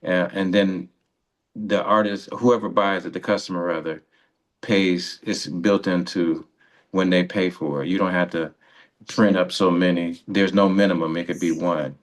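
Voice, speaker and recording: A man's voice: low-pitched, fairly even intonation, measured pace. A man hosting a radio interview in casual, rambling chat, often breaking off and rephrasing his sentences. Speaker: radio show host.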